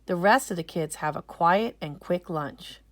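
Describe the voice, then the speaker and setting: A woman narrating a children's storybook aloud, expressive and explaining things for young listeners. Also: fairly low pitch, measured pace, dry recording.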